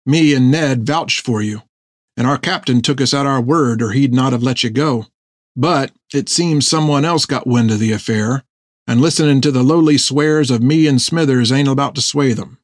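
A man voicing a gruff, earnest sailor sergeant in an audiobook, talking in folksy seafaring dialect to old mates. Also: mid-range voice, normal speed, dry recording, clean studio sound, natural voice. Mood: earnest, persuasive. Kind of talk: audiobook character dialogue.

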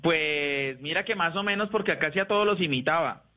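A man with a Colombian accent telling comic stories in a playful, animated way, acting out bits of dialogue as he goes. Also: fairly high pitch, fairly quick, muffled recording. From Neiva, Colombia. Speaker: comedian and guest. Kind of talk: comic storytelling, stand-up and banter.

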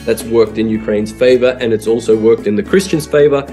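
A man's voice: mid-range voice, fast talker, warm tone, soft background music. Aussie guy, pastor, lots of ums and restarts, telling his story. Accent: Australian. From Australia. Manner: hesitant, full of fillers and restarts. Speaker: missionary pastor. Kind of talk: interview storytelling.

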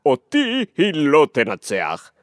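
A man's voice: fairly high pitch, wildly swooping pitch, no room sound. A man voicing a smug, single-minded magical creature with a dry, parched voice and a clipped, soldierly manner. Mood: smug, puzzled.

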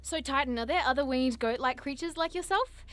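Keen young monster-fan lady in an audio drama, highish voice, very polite and gushy. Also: a little fast, fairly bright tone. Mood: curious, friendly. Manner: gushing, polite, earnest. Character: eager, polite young woman, starstruck cryptid enthusiast.

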